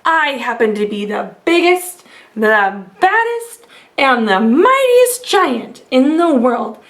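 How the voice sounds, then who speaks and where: Lady doing a huge giant guy from a picture book, high voice, blustery, swoops around. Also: big pitch swings, slow, full character voice. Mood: boastful bullying. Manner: loud, blustering. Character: enormous male giant, boastful bully, cowed by his mother.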